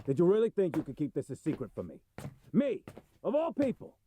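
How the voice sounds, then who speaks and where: A man's voice: fairly high pitch, wildly swooping pitch, a little fast, warm tone, slight background noise. A man playing a proud, menacing crime boss in an audio drama, indignant and confrontational. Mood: indignant anger.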